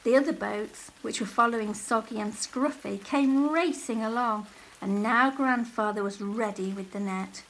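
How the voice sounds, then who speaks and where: A woman narrating a children's story aloud with expressive, dramatic delivery. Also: fairly high pitch, moderate pace, a little hiss or hum, poor audio.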